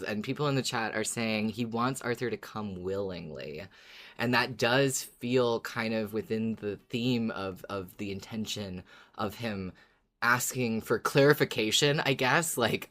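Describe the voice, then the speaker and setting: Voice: mid-range voice, a bit bright. A podcast co-host in casual discussion, thoughtful and a little hesitant in phrasing.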